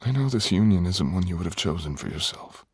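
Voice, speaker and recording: Guy doing seductive dragon lord asmr, super low husky voice, slow n brooding, formal wording. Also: expressive, no echo. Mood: earnest, tender. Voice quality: very deep, gravelly, husky, often breathy.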